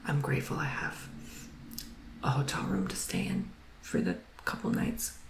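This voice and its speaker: A man giving a tarot reading in a soft whisper, earnest and emotional, rambling as he encourages the listener. The recording has a roomy echo. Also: mid-range voice, sing-song, moderate pace, gravelly, slight background noise. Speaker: tarot reader.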